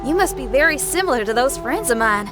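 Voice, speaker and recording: A woman's voice: high-pitched, animated, fairly quick, loud music behind the voice. A woman playing a confident, free-spirited young musician warrior in a video game, bold and folksy in manner. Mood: warm, confident. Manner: folksy, bold, plain-spoken.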